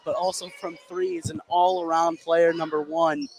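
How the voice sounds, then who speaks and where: A man's voice: on the high side, flat delivery, normal speed. A man giving casual color commentary during a live basketball broadcast. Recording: noisy live gym ambience.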